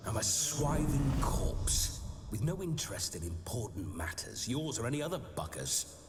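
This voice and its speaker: A man's voice: medium pitch, sing-song, normal speed, very noisy, lightly acted. An actor playing the jovial, boastful ghost of a hard-drinking nobleman brawler in a video game. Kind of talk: video game cutscene acting. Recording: game audio with reverb and music.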